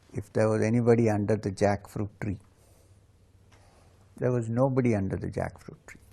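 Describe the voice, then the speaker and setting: A man and spiritual teacher, whose home language is Urdu, calmly recounting his own life story with measured pauses. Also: medium pitch, vocal fry.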